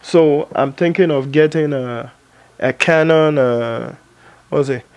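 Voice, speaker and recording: A man's voice: fairly high pitch, fairly even intonation, slow, a little mellow. A man in his thirties talking casually to camera in his home editing studio, thinking aloud with plenty of fillers. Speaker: vlogger and filmmaker. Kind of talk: casual vlog talk.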